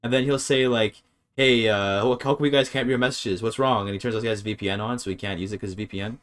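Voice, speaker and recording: A man's voice: fairly low pitch, steady tone, quick pace, a bit bright. A man casually streaming a game, thinking aloud as he reads his chat and works through grammar. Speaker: streamer learning a language. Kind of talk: casual live-stream commentary.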